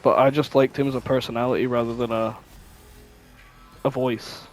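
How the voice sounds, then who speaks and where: A man's voice: medium pitch, level tone, moderate pace, soft background music. A man chatting casually as a podcast co-host, blunt, opinionated and free with swearing. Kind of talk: casual chat, reminiscing.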